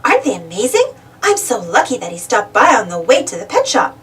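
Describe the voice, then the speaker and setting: A woman's voice: on the high side, huge pitch swings, brisk, a bit bright, far from the mic, a little hiss or hum. A woman voicing a proud, pleased bookshop clerk in a children's story, chatty and enthusiastic. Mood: proudly pleased.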